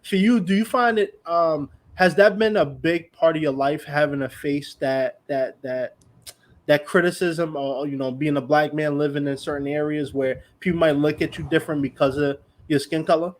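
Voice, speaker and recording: A man's voice: on the high side, steady tone, moderate pace. A man from New York hosting an interview, asking curious, rambling questions full of restarts and fillers. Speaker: podcast host. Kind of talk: casual interview conversation.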